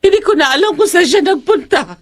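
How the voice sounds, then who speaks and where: A performer playing a calming adult witness who explains and reasons in a horror audio drama. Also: extremely high pitch, fairly quick. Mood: explaining. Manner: conciliatory, explaining.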